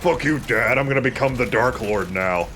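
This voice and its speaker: A man giving casual, joking let's play commentary, wry and quick to swear. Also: medium pitch, background noise.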